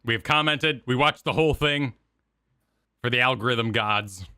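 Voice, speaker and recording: A man co-hosting a gaming reaction stream, giving casual, animated, bantering commentary. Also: mid-range voice, normal speed, clean tone, dry recording, studio quality.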